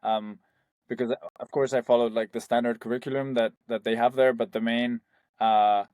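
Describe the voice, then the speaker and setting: A young man from Spain, guest on a founder interview, speaking loosely and conversationally. He fills his sentences with "like" and "um" as he talks. His voice is recorded close and dry, with no room sound, at a middling pitch. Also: little pitch movement, normal speed, darkish tone, clear, resonant tone, dry recording. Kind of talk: casual interview.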